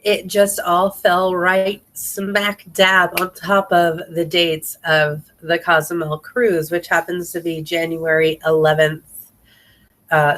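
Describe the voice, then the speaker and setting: A woman's voice: on the low side, fairly bright tone. A woman with a slightly congested voice chatting casually and enthusiastically, rambling along as she hosts a team training video. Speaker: independent sales consultant hosting a team training video.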